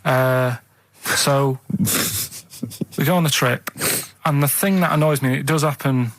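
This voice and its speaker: A man's voice: mid-range voice, a little slow, no echo, poor audio. A man co-hosting a radio show, telling rambling casual anecdotes in a hesitant, matter-of-fact way.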